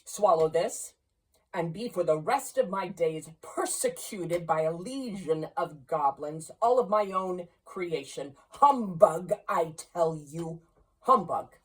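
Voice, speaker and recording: A woman's voice: on the low side, expressive, takes it slowly, slightly rough, distant mic, full character voice, poor audio. A woman reading a storybook as an old male miser who blusters and pleads in fear of a ghost. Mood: scornful, defiant. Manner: blustering, then pleading and fearful.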